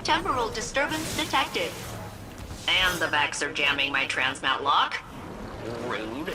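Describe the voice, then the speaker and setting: Animated, quirky female ship AI. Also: deep voice, measured pace, noisy background. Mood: wry, chatty. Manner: peppy, glib, deadpan asides. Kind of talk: video game mission dialogue.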